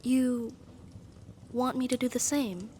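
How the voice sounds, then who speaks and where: A powerful demoness, acted by a woman, speaks to her master with formal, lovestruck devotion. Her voice is slightly high, soft and breathy, often sinking into a whisper. She takes her words slowly and hesitantly, as intimate ASMR roleplay, over faint noise. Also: fairly even intonation. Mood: hesitant, concerned.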